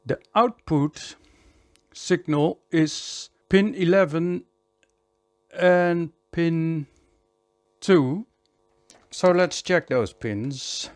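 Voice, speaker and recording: A man giving a hands-on electronics repair tutorial in a measured, step-by-step way, often repeating short phrases. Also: a little high, a little mellow.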